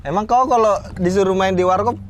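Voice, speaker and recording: A man in casual chat, telling stories in a relaxed way about writing comedy films, laughing often. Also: sits high, brisk, slight background noise. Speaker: comedy screenwriter.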